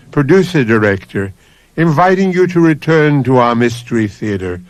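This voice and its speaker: A man hosting a radio mystery show with theatrical, deliberate phrasing and dramatic pauses. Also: mid-range voice. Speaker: radio mystery host and producer.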